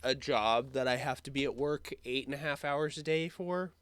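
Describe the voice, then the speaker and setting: A young man who is sick with a sore throat, talking in a rambling, halting way full of fillers for his casual vlog update. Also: mid-range voice, a bit bright, no echo. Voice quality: slightly rough, hoarse voice. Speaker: YouTuber.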